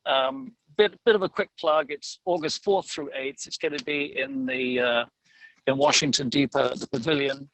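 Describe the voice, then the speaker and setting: A man in a casual interview, speaking in a hesitant, halting, self-deprecating way as an amateur actor. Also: mid-range voice, steady tone, a bit bright, slight background noise, poor audio.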